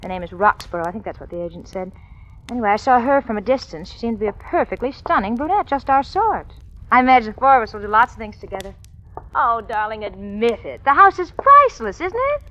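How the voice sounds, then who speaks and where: An actress playing a bossy, falsely sweet social-climbing wife in a 1940s radio drama, gushing and affected. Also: female voice, on the high side, big pitch swings, fast talker, fairly bright tone. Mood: excited, cajoling. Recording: noisy, muffled 1940s radio recording.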